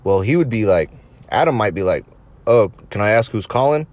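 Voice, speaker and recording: A man's voice: lowish voice, animated, a little fast, a little mellow, no room sound. A man around thirty hosting a podcast, telling anecdotes casually with crude swearing and amusement at his own stories. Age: late 20s to early 30s. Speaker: podcast host. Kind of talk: casual anecdotal storytelling to listeners.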